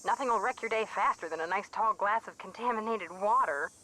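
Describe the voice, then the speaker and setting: A woman playing a bubbly, teasing young sidekick in a video game, with an American accent and a playful, expressive way of talking. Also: mid-range voice, a little fast, natural voice, poor audio. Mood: alarmed, playful. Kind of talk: video game voice acting, phone conversation.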